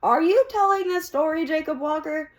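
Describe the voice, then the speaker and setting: A woman voicing a proud, sharp old man telling dramatic tales in a tavern, in a theatrical, emphatic way. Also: high-pitched, moderate pace, voice pushed up, close to an everyday voice. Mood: sharp, indignant.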